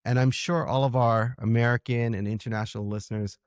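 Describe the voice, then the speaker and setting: A man's voice: medium pitch, steady tone, a little fast, smooth, dry recording, studio quality. A Canadian man hosting a podcast interview, friendly and appreciative in casual chat. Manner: friendly, appreciative, hesitant restarts. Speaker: podcast host.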